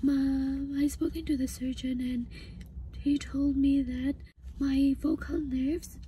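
A woman in her thirties with a hoarse, breathy voice that often breaks into a whisper, upset and halting as she gives a casual personal update to camera. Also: sits high, flat delivery, dark tone. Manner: halting, hesitant. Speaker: vlogger. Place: inside a parked car. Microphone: phone at arm's length. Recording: faint background noise in car.

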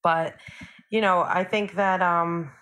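A young woman with a somewhat low voice talks casually as a podcast guest. She rambles in a relaxed way, peppering her sentences with "like" and "you know". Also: takes it slowly. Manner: chatty, many fillers like "like" and "you know". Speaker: podcast guest, singer-songwriter. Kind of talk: casual interview chat.

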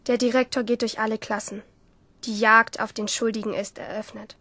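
A woman's voice: on the high side, level tone, normal speed, bright, forceful tone. An actress playing a troubled, yearning teenage schoolgirl in an introspective first-person radio drama monologue. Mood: tense, observant. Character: troubled, yearning teenage schoolgirl narrating her inner life. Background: music under the voice.

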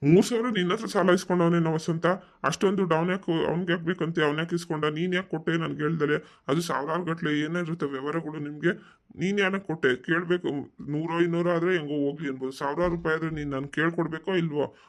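A man's voice: fairly high pitch, steady tone, fast talker, lightly acted. A man playing a stern middle-aged village father in a cartoon family story, questioning and reproachful. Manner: interrogating, reproachful.

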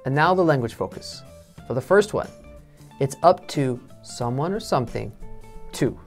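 A man in his thirties from Texas with a Texan accent, presenting an English lesson in a relaxed, chatty way from a studio. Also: mid-range voice, normal speed, soft background music. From Texas, United States. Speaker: English teacher. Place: studio in front of a backdrop.

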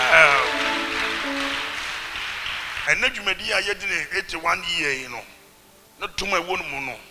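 A middle-aged man, hosting a formal, celebratory church interview on stage with a handheld mic. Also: on the high side. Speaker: host, interviewer.

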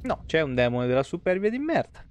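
Animated higher-voiced man streaming. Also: brisk, slight background noise. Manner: animated, swearing, exclamatory. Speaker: live streamer. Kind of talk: casual game commentary. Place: indoor room, webcam stream. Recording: game sound behind the voice. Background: game effects and ambience.

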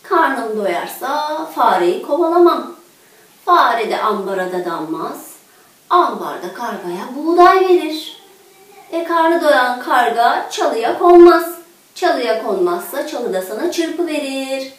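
A woman's voice: high-pitched, lively intonation, slow. A woman voicing a hungry storybook cat, striking a bargain in a firm manner. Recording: echoey room, distant microphone.

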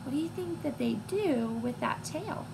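A woman in her twenties reading a picture book to young children, expressive and teacherly, pausing to put questions to listeners. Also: high-pitched. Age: 20s. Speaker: read-aloud storyteller for young children. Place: small room at home, indoors. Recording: clear background noise, home recording.

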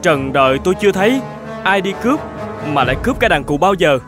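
An actor playing a kind, humble older shepherd in an animated folk tale, speaking politely and gently. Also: male voice, high-pitched, fast talker, over music. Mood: frightened, pleading. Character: kind, humble older shepherd, hospitable, fearful under threat.

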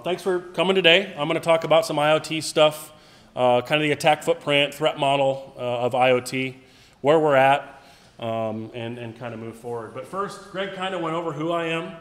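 A man's voice: a little high, fairly bright tone. A man giving a casual, blunt conference talk, salty and joking, heard through a room PA in a large hall. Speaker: conference speaker.

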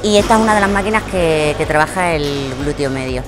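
A woman in her thirties explaining gym exercises on a lapel mic, in a relaxed teaching way with frequent fillers and repeated words. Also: low-pitched, fairly quick. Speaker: fitness trainer. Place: large indoor gym. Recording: music playing behind the voice. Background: background music.

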